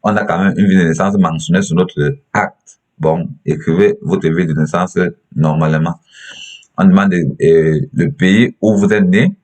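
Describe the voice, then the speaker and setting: A young man with a Cameroonian accent and a very low-pitched voice presents a step-by-step tutorial from his computer. He goes haltingly, often repeating words and phrases as he explains each step. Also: a little mellow.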